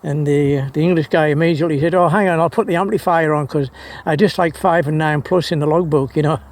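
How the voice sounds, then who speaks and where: Higher-pitched man, creaky, enthusiastic and rambling. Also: fairly even intonation, fast talker. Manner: enthusiastic, hesitant with repeated words. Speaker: amateur radio hobbyist vlogger. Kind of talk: rambling casual monologue.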